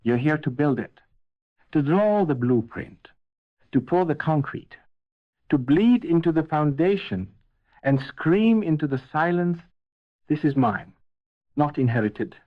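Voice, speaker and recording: A man's voice: a little high, expressive, slow pace, long pauses. A man delivering a scripted motivational speech as a speaker, intense and emphatic, in short punchy phrases. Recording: narrow phone-like band, thin lo-fi sound.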